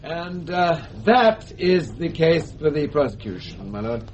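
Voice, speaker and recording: An actor playing a formal, hesitant prosecuting barrister in a radio courtroom drama. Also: male voice, fairly high pitch, lively intonation, measured pace. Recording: dull, very noisy archive radio recording.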